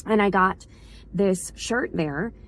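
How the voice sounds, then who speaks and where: A woman with a hoarse voice from a cold, chatting in a casual, rambling vlog as she circles back to restate things. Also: medium pitch, slow pace, fairly bright tone, slight background noise. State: hoarse from a cold, slight sore throat. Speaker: vlogger, school staff member.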